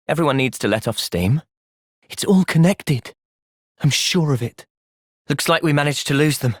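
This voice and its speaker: A man voicing an earnest, thoughtful young fighter in a video game, measured and sincere but capable of impassioned intensity. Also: a little high, a bit bright, no room sound, clean studio sound. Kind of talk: video game cutscene voice acting.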